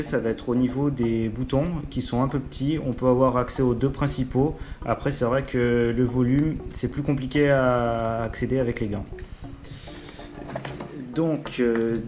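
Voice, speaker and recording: A man's voice: medium pitch, a little mellow. A man giving an informal spoken product review in a hesitant, matter-of-fact way, as a gear vlogger.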